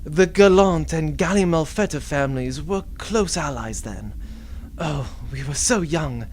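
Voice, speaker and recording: Audio drama role: young noble rebel leader, played by a man, self-important, flustered and lovestruck, very lively intonation. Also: on the high side, unhurried, a little hiss or hum. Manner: pompous, flustered.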